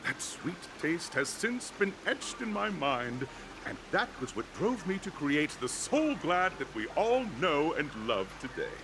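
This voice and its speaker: A man's voice: on the high side, big pitch swings, unhurried, background noise. A man voicing a flamboyant, effusive festival host and drink tycoon in a game, announcing in a grandiose theatrical style. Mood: nostalgic.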